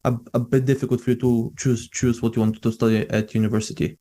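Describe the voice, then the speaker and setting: Mellow male interviewer. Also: mid-range voice, level tone, quick pace. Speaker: podcast host, interviewer. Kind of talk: conversational interview hosting.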